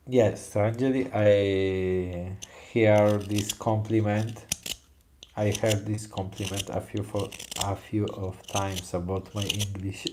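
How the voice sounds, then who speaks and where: A man from Poland speaks in English with a Polish accent tinged with Italian. His voice is dark, mellow and a little low. He talks very slowly and haltingly, chatting to his viewers as he carves. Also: slight background noise, low-quality recording. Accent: Polish, mixed with Italian. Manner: soft-spoken, halting English, answers chat questions. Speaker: woodcarving livestreamer. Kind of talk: relaxed live-stream chat while carving.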